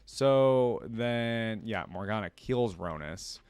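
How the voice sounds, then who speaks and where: A man's voice: fairly low pitch, expressive, slow pace, darkish tone, smooth, no room sound, soft background music. A man hosting a reaction, switching between reading a story aloud and informal commentary where he thinks aloud. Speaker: reaction video host.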